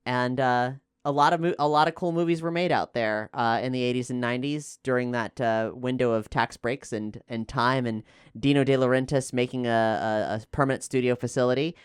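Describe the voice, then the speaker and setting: A man from Florida with an American accent, talking casually and explaining things in a halting way, often correcting himself as he thinks aloud. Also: mid-range voice, steady tone, clear, resonant tone, dry recording, studio quality. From Florida, United States. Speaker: actual play podcast player and co-host.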